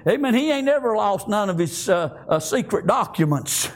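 A man's voice: sits high, animated, normal speed. An older man with a Southern American accent preaching a fervent, folksy church sermon, punctuated with frequent amens and exclamations of praise.

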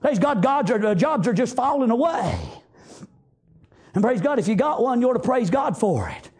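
A man with a Southern US accent preaching a fervent revivalist sermon, exhorting loudly and peppering it with praise interjections. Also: very high-pitched, sing-song, normal speed.

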